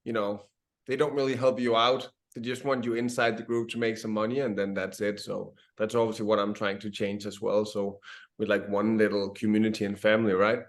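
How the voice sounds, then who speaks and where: A man's voice: fairly low pitch, flat delivery, a little fast. A man interviewing a guest in a friendly, casual way, calling him "man".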